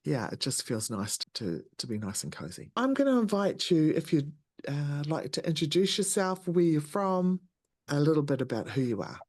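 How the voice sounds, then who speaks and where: A woman's voice: low-pitched, big pitch swings, normal speed, darkish tone. A woman, hosting a podcast in a casual, chatty interview style. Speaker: podcast host.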